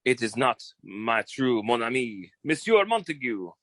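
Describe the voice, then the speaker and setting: A man's voice: medium pitch, sing-song, a little slow, a bit bright, no room sound. A man playing a flamboyant, bumbling agent who poses as an effusive French war hero, with a put-on French accent and a florid drawl, in old-time radio comedy style. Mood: grandly effusive. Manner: pompous, florid, theatrical drawl.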